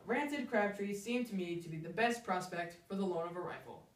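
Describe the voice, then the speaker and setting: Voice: mid-range voice, fairly quick. Theatrical storyteller in an echoey room. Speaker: storyteller reciting a humorous story. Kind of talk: dramatic reading, first-person comic narration. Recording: echoey room, distant microphone.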